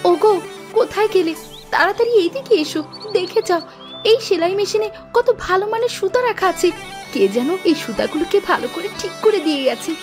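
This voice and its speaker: A woman voicing a poor tailor's kind, excitable wife in a storybook cartoon. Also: extremely high pitch, moderate pace, music in the background. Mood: amazed. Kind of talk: storybook cartoon narration and acting.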